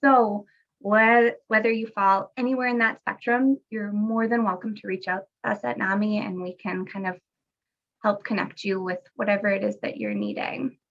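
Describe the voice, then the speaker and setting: A woman's voice: mid-range voice, steady tone, normal speed, creaky, a bit bright. A woman presenting a webinar in a friendly, conversational way, recovering from a cold, heard over a video call. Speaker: guest presenter, parent educator. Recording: muffled lo-fi video call audio.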